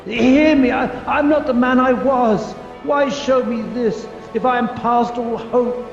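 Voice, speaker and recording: A man's voice: high voice, expressive, takes it slowly, darkish tone, faint music behind. A man playing an elderly miser in a radio play, theatrical and stammering. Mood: desperate, begging. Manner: theatrical, stammering, repeating himself in pleas. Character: elderly miser, terrified and pleading, desperate to repent. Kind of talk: 1930s-style radio drama acting. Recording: muffled recording with long echoing reverb.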